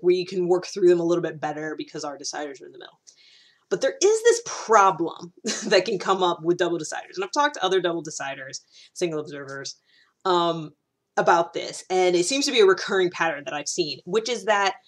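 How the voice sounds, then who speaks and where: A woman's voice: lowish voice, a bit bright. A woman giving a casual, explanatory monologue as a video host, conversational and often rephrasing or repeating herself.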